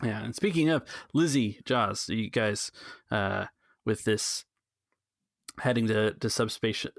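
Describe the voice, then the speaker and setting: A man acting as game master on a tabletop podcast, with a creaky, fairly low voice. He is earnest and halting, recorded close and dry. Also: lively intonation, dry recording. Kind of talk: tabletop game roleplay narration.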